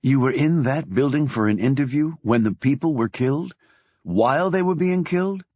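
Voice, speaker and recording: A man voicing a wary, sharp-tongued woman journalist in an audiobook. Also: mid-range voice, expressive, normal speed, no room sound, muffled recording, pitched above the performer's own voice, natural voice. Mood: probing.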